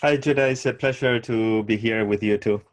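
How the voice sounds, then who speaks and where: Man, measured with pauses. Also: fairly low pitch, steady tone. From Argentina. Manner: measured, thoughtful, with frequent filler pauses. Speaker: tango teacher, guest. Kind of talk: online summit interview, presenting. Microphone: video call.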